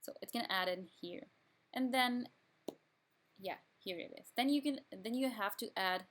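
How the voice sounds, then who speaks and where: A young woman from Pakistan whose native language is Urdu, calmly guiding viewers step by step through an online application form as a student. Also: a little high, moderate pace, slight background noise. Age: young adult. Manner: plain step-by-step instructions with frequent restarts. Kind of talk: screen-recorded tutorial walkthrough.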